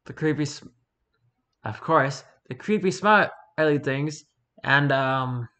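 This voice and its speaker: A man's voice: medium pitch, takes it slowly, pushed and bright. A man reading aloud casually with wry offhand asides, sometimes stumbling over the words as he goes. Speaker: streamer reading and reacting to wiki pages.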